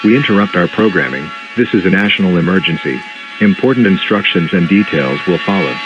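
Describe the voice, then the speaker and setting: A man playing a solemn, official emergency alert announcer, reading formal, measured scripted notices. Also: low-pitched, lively intonation, over music. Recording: muffled lo-fi broadcast audio.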